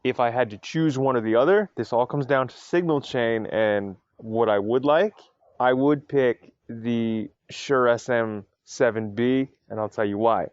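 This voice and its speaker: A man talks casually as the host of his own podcast, opinionated and full of asides. His voice sits at a middling pitch. The sound is dry and a little dull. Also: measured pace, darkish tone, no room sound. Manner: chatty, opinionated, many asides. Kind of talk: casual solo podcast talk.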